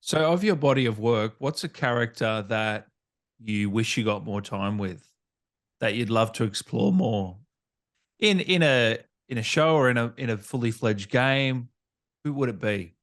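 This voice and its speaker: A man's voice: medium pitch, animated, a little slow, a bit bright. A man interviewing a guest in a casual, conversational style as the host.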